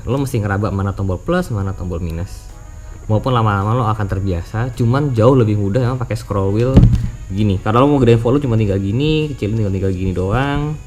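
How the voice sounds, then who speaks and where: A man's voice: on the low side, darkish tone. A man with a Jakarta Indonesian accent giving a casual product review as a vlogger, informally mixing English words into slangy speech. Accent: Indonesian, Jakarta colloquial. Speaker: motovlogger presenting a product review.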